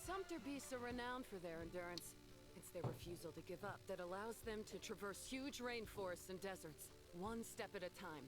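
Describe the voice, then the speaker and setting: A woman's voice: mid-range voice, moderate pace, lightly acted. A woman voicing a proud, good-humored beast competitor in a video game, with an emphatic, sporting manner. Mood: confident boasting. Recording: game music under the voice. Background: game music.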